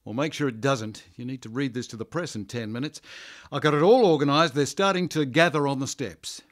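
An actor playing a smooth, manipulative party handler who talks glibly and persuasively. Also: male voice, mid-range voice, a little fast, natural voice. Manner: persuasive, glib.

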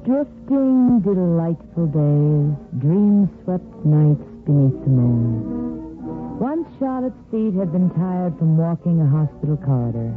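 A man's voice: fairly high pitch, very animated, slow pace. A man reading lyrical, dramatic scripted narration for a 1950s radio soap opera. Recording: tinny vintage radio recording. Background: music.

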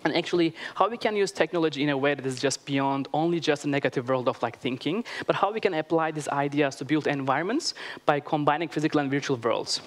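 Turkish-accented man, 30s, keen stage presenter, slightly high voice, headset mic. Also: quick pace. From Turkey. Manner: enthusiastic, many "like" fillers, loose non-native grammar. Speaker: media artist giving a talk. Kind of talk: conference presentation. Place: large theater stage.

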